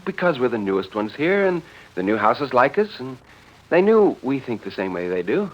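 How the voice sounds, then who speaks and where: A man's voice: mid-range voice, very animated, normal speed, pitched above the performer's own voice. Radio play role: male actor as genteel, evasive wife, raised voice, coaxing, muffled vintage sound. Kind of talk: 1940s radio drama acting. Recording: dull vintage 1940s radio recording.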